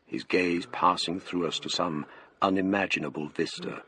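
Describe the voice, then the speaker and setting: An actor with an English accent playing a polite, bookish Cambridge man, speaking in a measured, literary way in a radio drama. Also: deep voice, steady tone, sharp tone, a little hiss or hum. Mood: grave narration. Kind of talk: radio drama narration and dialogue.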